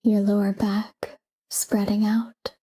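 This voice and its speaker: A woman guiding a sleep meditation in a calm, hushed, breathy whisper, soothing and soft. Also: mid-range voice, slow pace.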